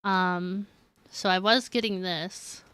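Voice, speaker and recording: A woman's voice: fairly low pitch, fairly even intonation, takes it slowly, fairly bright tone, clear, resonant tone, dry recording. A woman chatting casually on a game live stream, rambling and giggly as she talks to viewers.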